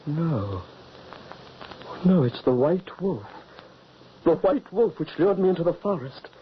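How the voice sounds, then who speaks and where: A man's voice: on the high side, sing-song, takes it slowly, a little hiss or hum. A man voicing an anguished middle-aged father in an old radio drama, overwrought and crying out. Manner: overwrought, cries out. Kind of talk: 1940s radio acting. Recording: dull old radio recording.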